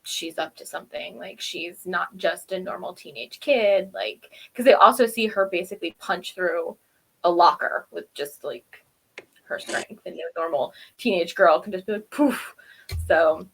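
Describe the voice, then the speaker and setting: A woman co-hosting a review show, casually recapping and commenting in an informal, animated way. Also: on the low side, unhurried, creaky, slightly rough, low-quality recording. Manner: informal, animated retelling with acted-out quotes. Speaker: co-host of a TV episode review show.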